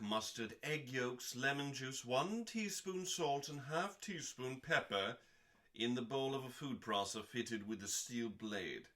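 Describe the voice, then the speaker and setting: A man hosting a dramatic cookbook reading, delivering recipes in a measured, theatrical way with deliberate pauses and deadpan asides. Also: mid-range voice. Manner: theatrical, measured, with pauses between short phrases.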